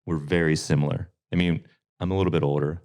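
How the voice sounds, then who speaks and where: A man's voice: rumbling low, little pitch movement, fast talker, a little mellow. A man, a band member, chatting casually and joking with bandmates on a podcast. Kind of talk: casual chat.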